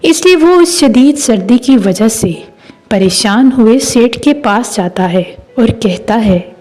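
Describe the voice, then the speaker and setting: A woman storyteller with a high, mellow voice. She reads a scripted story at a slow pace, with music behind her. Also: expressive. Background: background music.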